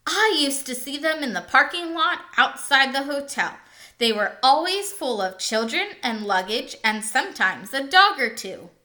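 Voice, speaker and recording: Woman as young male mouse, eager, cocky, inquisitive show-off, bright voice, storybook character. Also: high voice, very animated, measured pace, voice pushed up, heavily acted.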